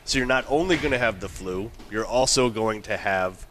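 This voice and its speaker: A man's voice: fairly low pitch, moderate pace, a bit bright. A man with an American accent giving blunt, slangy, opinionated sports talk as a co-host, in a noisy on-location recording. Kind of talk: casual, opinionated sports chat. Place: on location at a golf tournament.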